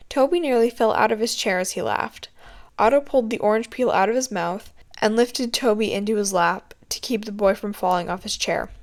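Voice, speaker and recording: A woman reads a story aloud in scripted narration. She is an amateur audiobook reader, and her voice sits at an average pitch for a woman.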